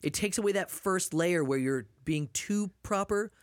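A man hosting a podcast in a quiet studio, chatting casually, blunt and animated, swearing freely. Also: sits high, normal speed, no echo. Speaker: podcast host.

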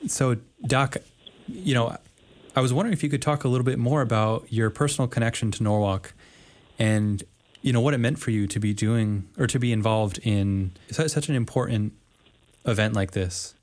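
A man hosting a community radio show, interviewing a guest in a measured, hesitant way. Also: medium pitch, a little mellow. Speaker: radio host. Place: radio studio.

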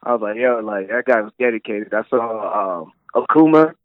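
Man, 30s, phone-in guest, relaxed easygoing chat, muffled call audio, dry sound. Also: fairly low pitch, moderate pace, no echo.

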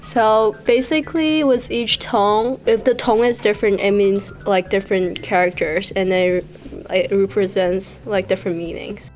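A woman's voice: mid-range voice, steady tone, normal speed, faint music behind. A young woman from Beijing, a student talking casually in an interview with many "like"s. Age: young adult. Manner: casual, lots of "like". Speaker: journalism student.

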